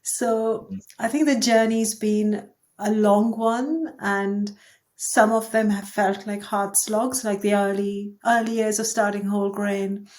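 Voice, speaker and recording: A woman's voice: medium pitch, little pitch movement, slow pace, darkish tone. Woman, reflective interview guest, candid and easygoing, mid-range voice. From India. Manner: thoughtful, candid, light laughs. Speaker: podcast guest, agency co-founder.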